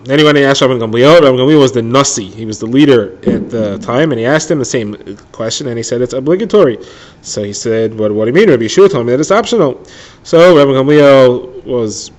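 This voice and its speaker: A man explaining a daily Talmud page, retelling the story plainly and mixing in Hebrew and Aramaic terms. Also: medium pitch. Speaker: Talmud teacher. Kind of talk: daily Talmud lesson for listeners.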